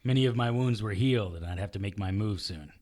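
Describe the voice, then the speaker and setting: A man's voice: on the low side, fast talker, a little mellow, close to an everyday voice. An actor playing a wry, immortal male hero in an audio drama, both speaking in scenes and narrating in the first person.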